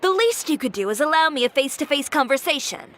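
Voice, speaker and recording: A woman's voice: high-pitched, expressive, fast, a little hiss or hum. A woman playing a direct, self-assured young fashion designer in a video game. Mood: cool. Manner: direct, cool, matter-of-fact. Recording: game audio with soft music. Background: soft game music.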